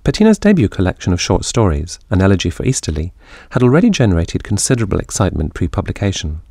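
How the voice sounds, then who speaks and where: A man's voice: deep voice, wildly swooping pitch, quick pace, vocal fry, a little mellow, husky. A man hosting an interview who reads a scripted introduction, then asks thoughtful questions, stumbling and repeating words as he asks them. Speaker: interviewer host.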